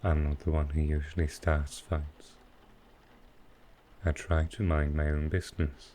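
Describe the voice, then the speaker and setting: Very deep, mellow male villain, acted out. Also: flat delivery, measured pace, a little hiss or hum. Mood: wry, resigned. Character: smooth, flirtatious male supervillain courting his tailor. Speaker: voice actor in audio roleplay. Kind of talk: intimate ASMR roleplay.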